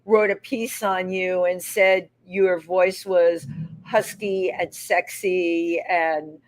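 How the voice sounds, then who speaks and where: A woman hosting a live talk show from a restaurant, chatty and animated, often finishing her guest's sentences. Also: fairly low pitch, slow.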